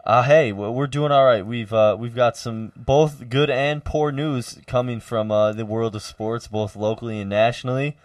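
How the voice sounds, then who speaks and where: Loud young man hosting college sports radio. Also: mid-range voice, no echo, clean studio sound. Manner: loud, energetic, halting with many fillers.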